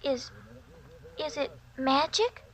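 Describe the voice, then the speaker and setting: A very high, bright little girl's voice from an old radio thriller. She is an innocent, curious schoolchild speaking in a clear period drama manner. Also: animated, slow pace, full character voice. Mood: uneasy, wondering. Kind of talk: 1940s radio drama acting. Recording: vintage 1940s radio recording.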